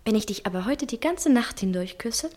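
A woman acting in a 1960s German radio play as a capricious young lover, theatrical and teasing, often drifting into breathy murmurs. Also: fairly high pitch, expressive, brisk, darkish tone. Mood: coquettish, wistful. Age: young adult. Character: dreamy, coquettish, capricious young woman in love.

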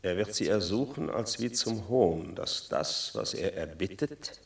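A stubborn tribune of the people in radio theatre. He speaks slowly and formally in a creaky voice, insisting on his point. The sound is echoey. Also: sits low, animated, heavily acted. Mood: scheming. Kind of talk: classic German radio play. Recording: echoey radio drama studio.

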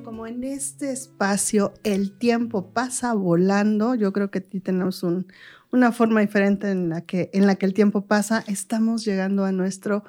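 Mellow Mexican woman hosting radio talk. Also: mid-range voice. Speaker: radio talk show host. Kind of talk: conversational interview hosting.

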